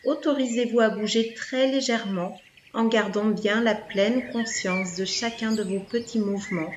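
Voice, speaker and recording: A woman leading a guided yoga relaxation as instructor, speaking in soothing, measured phrases with pauses. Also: mid-range voice, fairly even intonation. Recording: echoey room, background noise, birdsong.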